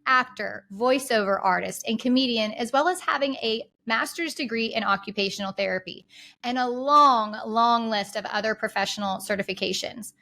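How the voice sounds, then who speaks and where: A woman's voice: a little high, moderate pace, creaky, pushed and bright. A woman hosting an interview podcast, introducing her guest and asking questions with enthusiasm and lots of casual fillers. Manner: enthusiastic, many fillers like "like" and "you know". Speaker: podcast host.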